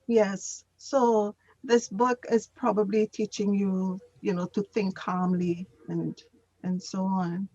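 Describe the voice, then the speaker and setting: A woman's voice: mid-range voice, little pitch movement, slow pace, dark tone, no echo, dull audio. A woman working as an English teacher, tutoring in a patient, conversational way and repeating phrases to explain.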